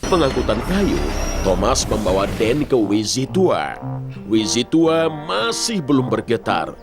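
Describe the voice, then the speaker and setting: A man's voice: medium pitch, very animated, a little slow. A man narrating a children's cartoon as a dramatic storyteller. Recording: music playing behind the voice. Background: loud music, vehicle sounds.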